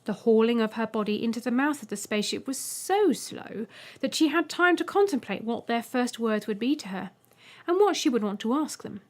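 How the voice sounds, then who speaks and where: A woman narrates a short story in a fairly high voice. Her delivery is scripted audiobook reading, told expressively. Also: animated, moderate pace, darkish tone.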